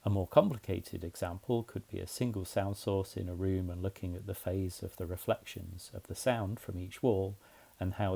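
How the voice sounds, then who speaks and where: A man's voice: deep voice, vocal fry, mellow. A man narrating a scripted explainer in a methodical, plain-spoken way, as a tutorial presenter.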